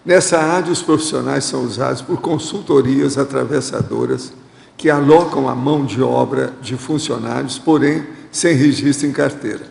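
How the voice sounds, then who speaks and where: Brazilian male host, gravelly voice, direct advising tone, echoey hall sound. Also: mid-range voice, moderate pace, a little mellow. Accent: Brazilian Portuguese. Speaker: host reading viewer questions. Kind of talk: studio Q&A, answering questions.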